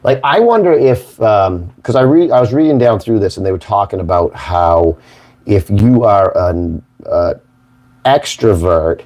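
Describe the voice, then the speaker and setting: A hoarse man hosts a casual morning chat, rambling along with folksy jokes. His voice sits a bit low. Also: lively intonation, unhurried, darkish tone. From Maine, United States. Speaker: host.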